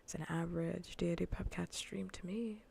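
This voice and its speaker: Female ASMR game streamer: very creaky, breathy, whisper-prone delivery, loose repetitive chatter, faint background noise. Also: on the low side, steady tone, moderate pace, a little mellow. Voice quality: breathy, often whispering, gravelly fry. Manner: casual, rambling, repeats phrases. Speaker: livestreamer. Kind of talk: soft ASMR gaming livestream chat. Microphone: close ASMR microphone.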